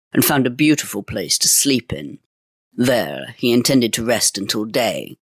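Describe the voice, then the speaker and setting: A man's voice: on the low side, creaky, fairly bright tone, dry recording, studio quality. A man reading a fairy tale aloud in a dramatic, old-fashioned storytelling manner.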